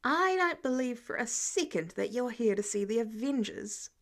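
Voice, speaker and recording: Casting: woman as nervous teen boy with a secret, hesitant and casual, highish voice, audiobook dialogue. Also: expressive, normal speed, fairly bright tone. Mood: skeptical.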